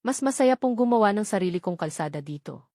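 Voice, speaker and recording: A woman's voice: mid-range voice, lively intonation, a little fast, mellow, no echo, clean studio sound, close to an everyday voice. A woman voicing a polite young boy in an audiobook, speaking simply and contentedly. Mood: politely content.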